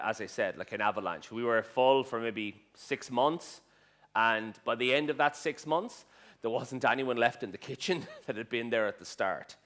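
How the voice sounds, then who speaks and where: A man's voice: mid-range voice, a bit bright. A middle-aged Irish man, a restaurateur, giving a conference talk with many fillers and restarts and a dry sense of humour. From Ireland. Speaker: restaurateur and chef speaking at a conference. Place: conference hall stage.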